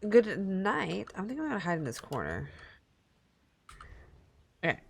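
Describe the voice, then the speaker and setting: An American woman chats through a gaming livestream in a creaky voice. Her pitch is lively and moves around a lot. She talks informally, swears freely and drifts off topic easily. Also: a little slow. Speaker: live streamer. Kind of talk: casual gaming livestream chat.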